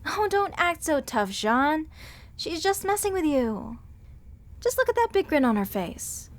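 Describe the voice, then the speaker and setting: A woman's voice: high-pitched, sing-song, a little slow. A woman voicing a sweet, bookish female museum curator who earnestly explains legends in an audio roleplay drama. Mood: coaxing. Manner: earnest, lecturing at length on legends and history.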